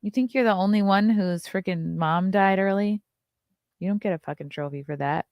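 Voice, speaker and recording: A woman's voice: mid-range voice, normal speed, no echo, muffled recording. A woman in her thirties hosting a casual livestream, reading chat comments and reacting with blunt, sarcastic commentary and frequent swearing. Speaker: livestream host.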